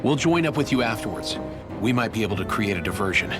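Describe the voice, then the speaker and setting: Man acting a low-voiced guarded resistance member. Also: expressive, fast, lightly acted. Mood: guarded, practical. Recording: video game music behind.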